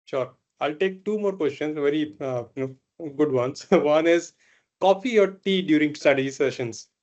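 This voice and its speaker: A male moderator with a fairly high voice runs a panel, reading questions and laughing easily between them. His audio is muffled and lo-fi. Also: normal speed, a little mellow. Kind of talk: hosting a panel discussion.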